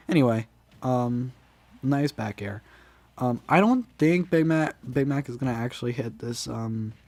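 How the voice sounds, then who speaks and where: A man's voice: medium pitch, a little mellow. A man with a stuffy, congested nose, casually commentating a game tournament, rambling with lots of ums and restarts.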